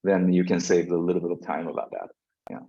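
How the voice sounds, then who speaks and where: A man's voice: low-pitched, fast talker, gravelly, mellow. A man whose first language is Korean, giving a university lecture with many fillers and restarts. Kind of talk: classroom lecture. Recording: dull lo-fi lecture recording.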